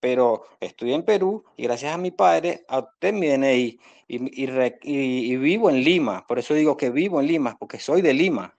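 A man's voice: medium pitch, expressive, moderate pace, vocal fry, hardly any pauses, a little mellow. A young man from Venezuela with a Venezuelan accent, earnestly explaining his case as a defendant at a court hearing over a video call. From Venezuela, born in Caracas. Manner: earnest, repetitive explaining with apologies to the judge. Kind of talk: spontaneous testimony, pleading his case. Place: indoors against a plain wall, on a video call. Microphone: phone at arm's length on video call.

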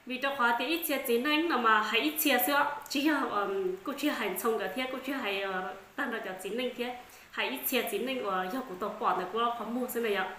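A woman in her thirties, talking to the camera as a channel host, telling a story in a calm, earnest way. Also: a little high, a little fast. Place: dim wood-walled room indoors. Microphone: camera in front at desk distance. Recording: echoey room with faint background noise.

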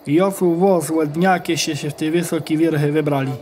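A man playing a big, shaggy, friendly bear in an audio play, earnest and humble, with a Polish Goral highland accent. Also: fairly even intonation, normal speed, darkish tone, a little hiss or hum, close to an everyday voice. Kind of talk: audio play dialogue in dialect.

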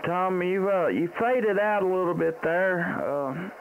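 Man on ham radio, slightly high-pitched. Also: unhurried, gravelly. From Tennessee, United States. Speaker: amateur radio operator and livestream host. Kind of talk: casual ham radio chat and calling. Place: small indoor home radio room. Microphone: close boom microphone with headset. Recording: dull lo-fi sound, faint radio noise.

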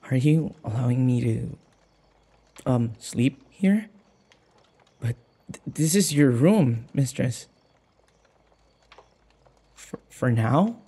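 Guy doing a shy submissive servant boy for audio roleplay, halting n sighing, slow and creaky, super reverby. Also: mid-range voice, dark tone. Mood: nervous, flustered. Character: timid, submissive young male servant of a vampire mistress. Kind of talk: intimate boyfriend audio roleplay.

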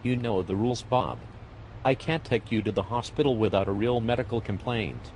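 A man voicing a tired, sarcastic male fire medic in an amateur animated comedy, speaking dryly and with exasperation. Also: fairly low pitch, moderate pace, slight background noise, close to an everyday voice, low-quality recording.

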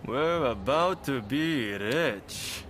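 A man's voice: medium pitch, expressive, very slow, fairly bright tone, a little hiss or hum. An actor playing a cocky, greedy ruffian in a video game, gloating as he talks. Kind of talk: video game cutscene acting.

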